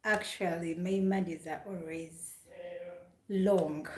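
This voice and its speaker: A woman's voice: on the low side, fairly even intonation, slow, a little mellow, echoey room, slight background noise. A woman from Uganda chatting casually on a live stream, greeting viewers by name and repeating her questions.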